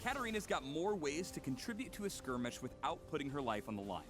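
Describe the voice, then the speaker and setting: A man's voice: fairly high pitch, big pitch swings, fast talker, over music. A man narrating a scripted promotional explainer for a game update.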